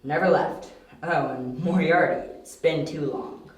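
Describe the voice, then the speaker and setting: Young detective, male actor: analytical, cocky, dryly clipped, fairly high voice, amateur audio drama, distant from mic. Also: a little slow, close to an everyday voice. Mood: dry, defiant. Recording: very echoey, distant, with faint hiss.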